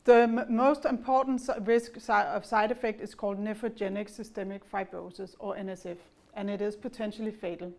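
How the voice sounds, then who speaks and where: A woman's voice: medium pitch, steady tone, a little mellow. Danish-accented woman presenting to investors. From Denmark. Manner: measured and explanatory, with occasional fillers and restarts. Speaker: company executive presenting.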